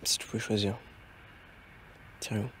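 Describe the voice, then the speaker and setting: An actor playing a lonely man cut off from his parents, speaking quietly and slipping into whispers. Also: normal speed, slight background noise, close to an everyday voice. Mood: wistful. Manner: quiet, half-whispered, reflective. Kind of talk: hushed conversation, film drama.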